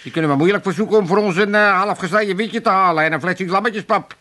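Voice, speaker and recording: High-voiced, grumbling old father. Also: fast talker, pushed and bright. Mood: scolding. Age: older. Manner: exclaiming, nagging, sarcastic. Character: grumbling, teasing old Amsterdam father, quick to take offence. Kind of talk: 1980s comedy radio play.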